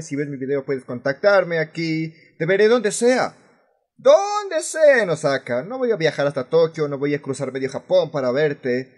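Man acting an angry, sarcastic Latin American ranter. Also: fairly high pitch. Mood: threatening. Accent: Latin American Spanish. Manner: sarcastic, confrontational, swearing, emphatic. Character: furious, foul-mouthed male expat vlogger ranting about rude tourists. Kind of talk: fan dub of a vlogger's angry rant.

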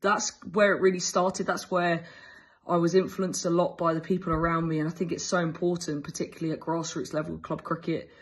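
A woman's voice: on the low side, flat delivery, fairly quick, breathy edge. An English woman in her thirties, a cricket coach answering interview questions enthusiastically over a video call, with frequent fillers and restarts. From England. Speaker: fast bowling coach. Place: indoors, small room. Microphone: earbuds on a video call.

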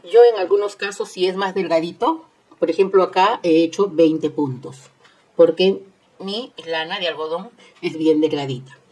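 A woman's voice: fairly low pitch, normal speed, darkish tone, husky, low-quality recording. A woman hosting an informal step-by-step craft tutorial, instructing with repeated phrases and tag questions. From Peru. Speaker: host of a crochet tutorial channel.

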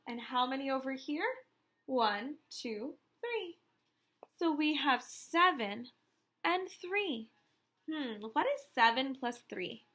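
Female primary teacher for a children's read-aloud: bright, high voice, encouraging and sing-song. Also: sing-song, slow.

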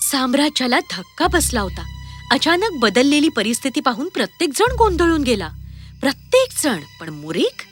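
A woman narrating a scripted children's fairy tale in an expressive, dramatic storytelling manner. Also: sits high, normal speed. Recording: music clearly under the voice.

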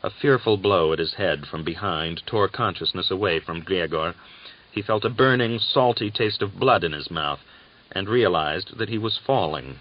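A man narrating a novel as an audiobook, with a measured, deliberate and dramatic literary delivery. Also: low voice. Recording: dull, lo-fi audiobook recording.

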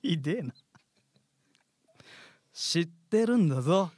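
A man's voice: on the high side, lively intonation, slow, darkish tone, no room sound. A man playing a cocky, carefree, teasing katydid in a theatrical radio drama style. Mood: taunting. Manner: theatrical, mock-polite turning taunting. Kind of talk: live radio drama acting. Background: music.

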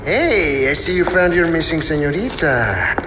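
A man's voice: a little high, sing-song, moderate pace, very noisy. An actor playing a roguish, chatty Spanish man in a video game, with a Spanish accent and a breezy, teasing manner. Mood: smooth charm. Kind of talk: video game cutscene acting. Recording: roomy echo under loud game music.

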